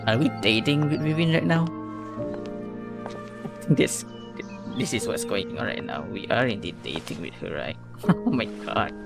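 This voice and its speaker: Lively, gravelly man reacting to trailers. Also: medium pitch, normal speed, pauses often. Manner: rambling, repeats words for emphasis. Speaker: reaction video host. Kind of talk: casual commentary, reacting to game trailers. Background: music from the trailer.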